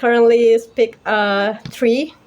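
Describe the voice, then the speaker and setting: A woman's voice: on the high side, fairly even intonation, slow pace, darkish tone. A young Indonesian woman with an Indonesian accent, presenting informally to a small online group in a giggly, friendly, chatty way. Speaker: host and presenter of a language practice talk group. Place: online video call.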